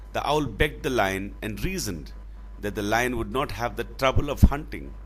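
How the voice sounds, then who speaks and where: A man telling a children's fable in a dramatic, expressive way, with deliberate phrasing. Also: medium pitch, slight background noise, poor audio. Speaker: storyteller.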